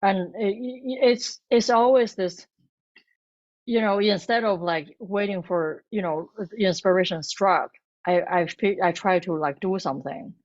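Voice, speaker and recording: A woman whose first language is Chinese, a cookbook author talking about her work in a halting way with many restarts. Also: medium pitch, muffled recording. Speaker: cookbook author and food blogger. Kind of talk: bookstore author talk.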